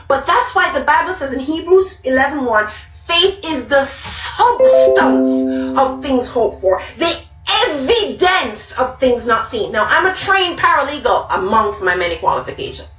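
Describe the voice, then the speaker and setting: Middle-aged woman, Jamaican accent, high voice, emphatic live Bible talk, roomy distant webcam sound. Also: big pitch swings, measured pace, fairly bright tone, dull audio, soft background music. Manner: emphatic, repeats key words, gestures a lot. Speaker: speaker. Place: small room indoors. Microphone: webcam at arm's length.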